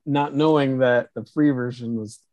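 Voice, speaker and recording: A man's voice: mid-range voice, steady tone, normal speed, darkish tone, no echo, highs cut off. Offhand man with a dry sound. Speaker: podcast co-host. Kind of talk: casual movie discussion.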